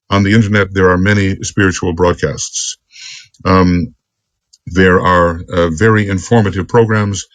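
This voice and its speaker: Low-voiced man lecturing deliberately. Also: no echo. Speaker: esoteric teacher. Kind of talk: extemporaneous spiritual lecture with commentary on a text.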